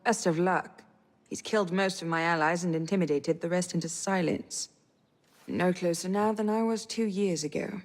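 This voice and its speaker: A woman's voice: fairly low pitch, moderate pace, big-room sound, close to an everyday voice. An actress playing a proud young noblewoman seeking revenge, speaking formally and pointedly in a dramatic video game. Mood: guarded, bitter.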